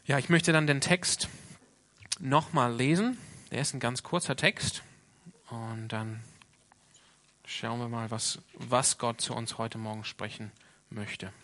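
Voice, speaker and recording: Gravelly Australian man preaching, bright voice. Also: mid-range voice, fairly even intonation, takes it slowly, pauses often. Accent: Australian English. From Sydney, Australia. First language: English. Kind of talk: informal Sunday sermon.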